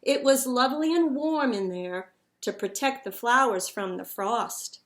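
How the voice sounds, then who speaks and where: A woman reading a picture book aloud to children in an expressive, dramatic storyteller's manner. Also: a little high, unhurried.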